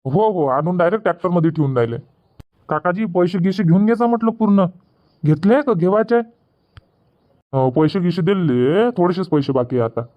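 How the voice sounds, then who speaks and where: A man voicing rustic Varhadi Marathi farmer, high-pitched. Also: animated, fairly quick. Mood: chatty. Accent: Varhadi Marathi, Vidarbha. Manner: colloquial, repetitive rustic chatter. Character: village orange farmers and traders, plain-spoken rustic men. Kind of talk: dubbed cartoon comedy dialogue. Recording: dull lo-fi audio.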